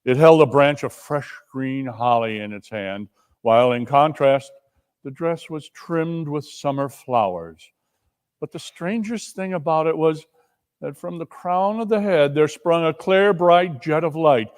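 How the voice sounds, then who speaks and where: A man narrating a story as a dramatic reading, in a measured, theatrical manner. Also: fairly high pitch, a little mellow, smooth. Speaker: narrator and performer. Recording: long reverberant hall echo.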